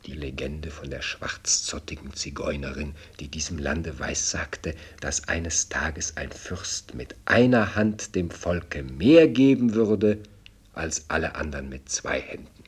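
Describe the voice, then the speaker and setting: An actor playing an elderly courtly statesman in a German radio drama, speaking solemnly and theatrically with a husky voice. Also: rumbling low, lively intonation, unhurried, heavily acted. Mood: solemn, confiding. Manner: solemn, ceremonious, theatrical delivery. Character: elderly courtly statesman addressing his young prince.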